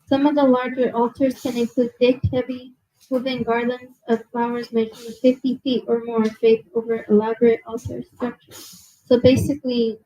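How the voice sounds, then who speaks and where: A young woman giving a nervous museum presentation to an audience, reading from notes with many fillers and apologies, her soft low voice held away from a handheld mic indoors. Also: little pitch movement, moderate pace, low-quality recording. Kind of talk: reading prepared talk to a live audience. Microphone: handheld mic held at a distance.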